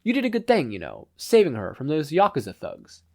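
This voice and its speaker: A man's voice: fairly high pitch, huge pitch swings, brisk, gravelly, a little mellow, pitched above the performer's own voice, full character voice. A man voicing a kindly, concerned male mentor in a story reading. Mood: gentle concern.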